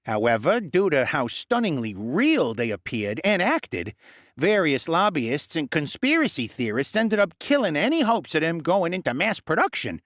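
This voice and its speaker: A man's voice: on the high side, sing-song, normal speed, fairly bright tone, no room sound, clean studio sound, voice pushed up. A man voicing an impatient, clever male goblin who explains things in a know-it-all way. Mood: lecturing.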